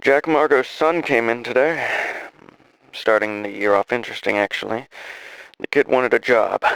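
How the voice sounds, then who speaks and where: Guy acting as lab boss dictating his log, creaky gravelly voice, dry close sound, businesslike. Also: medium pitch, unhurried, long pauses, fairly bright tone, dry recording, rough recording. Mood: matter-of-fact, amused. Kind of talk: audio drama recorded log.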